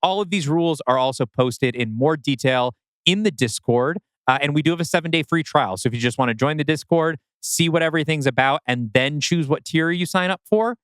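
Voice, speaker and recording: A man's voice: on the high side, fairly quick, a bit bright, smooth, dry recording. A man co-hosting a podcast, casually explaining things to listeners. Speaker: podcast co-host.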